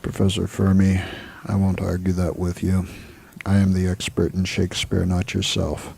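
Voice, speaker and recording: A man playing a proud, weary literature professor in a 1980s audio drama, self-assured but a little rambling, with a gravelly, husky voice. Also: deep voice, monotone, normal speed, darkish tone, full character voice. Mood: insistent, self-assured. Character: stubborn, weary male Shakespeare professor, proud of his expertise.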